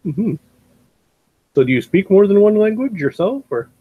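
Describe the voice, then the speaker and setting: A man's voice: high voice, sing-song, normal speed, slightly rough, no echo, phone-quality sound. A man hosting a podcast, chatting casually as he interviews a guest. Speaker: podcast host.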